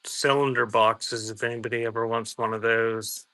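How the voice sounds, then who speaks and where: Male live-sale host, bright forward voice at middling pitch, slightly unhurried, casual and off-the-cuff, music playing behind. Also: flat delivery. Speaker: live auction seller and host. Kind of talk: casual live-selling chat.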